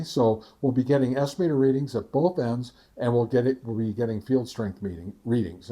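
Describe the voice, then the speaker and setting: A man hosting an informal product test, explaining in a halting way with frequent ums. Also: medium pitch, a little mellow. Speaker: ham radio video reviewer, host.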